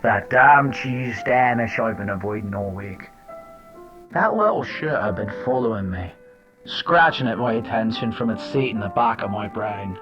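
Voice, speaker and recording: A man's voice: on the low side, moderate pace, far from the mic, natural voice. A man playing a wry, foul-mouthed intelligence agent, telling his story in a sardonic, conversational way in an audio drama. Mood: wry, confiding. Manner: sardonic, conversational, addresses the listener directly. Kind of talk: first-person audio drama narration and dialogue. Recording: dull lo-fi old-radio sound under music.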